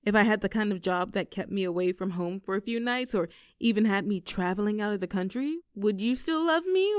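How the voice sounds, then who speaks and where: A woman's voice: mid-range voice, darkish tone, clean tone, dry recording, studio quality, close to an everyday voice. A woman playing an affectionate, teasing young girlfriend in an intimate roleplay audio, coaxing and giggly in a conversational way. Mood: teasing, amused. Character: playful, clingy, affectionate young girlfriend teasing her partner.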